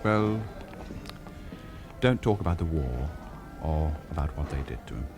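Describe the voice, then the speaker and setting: An actor playing a measured, protective hospital doctor in a radio drama, speaking in a considered, slightly hesitant way. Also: male voice, very deep voice, lively intonation, music in the background, heavily acted. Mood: concerned.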